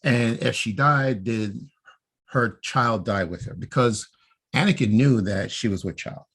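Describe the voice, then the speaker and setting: A man with a low voice talks casually on a podcast. His tone is a little husky, and he lays out fan theories step by step. He often adds a quick "right?" to bring his co-host along. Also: a bit bright.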